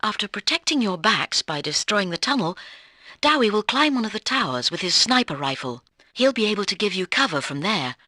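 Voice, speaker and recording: A woman playing a brisk spy team colleague who gives instructive, authoritative mission briefings in a video game. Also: mid-range voice, big pitch swings, sharp tone, no echo, clean studio sound.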